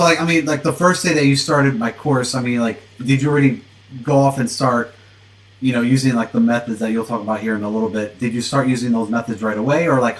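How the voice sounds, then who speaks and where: A man with an American accent, an interviewer and course instructor, talking informally and rephrasing haltingly as he goes. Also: medium pitch, rough recording.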